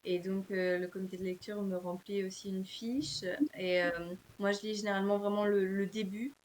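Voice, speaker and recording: A woman who is an author and publisher, answering interview questions casually with many fillers and pauses. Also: mid-range voice, level tone, darkish tone, slight background noise.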